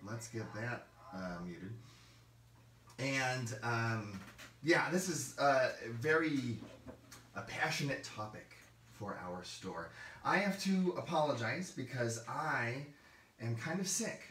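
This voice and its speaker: A hoarse man chatting on a livestream with an everyday mid-range pitch. He rambles, circling back with fillers and false starts. His voice sits back from the mic in a roomy space. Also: expressive, takes it slowly, fairly bright tone, far from the mic, a little hiss or hum. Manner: rambling, many fillers and restarts. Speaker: game store livestream host. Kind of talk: casual livestream talk.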